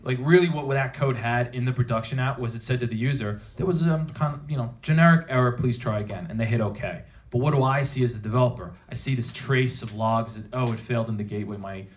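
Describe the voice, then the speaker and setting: A man with a mid-pitched voice presents as a conference speaker. He talks informally and drives his points home with emphasis, often posing rhetorical questions to his audience. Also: quick pace, hardly any pauses. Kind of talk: technical presentation to a live audience. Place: theater at a developer meetup.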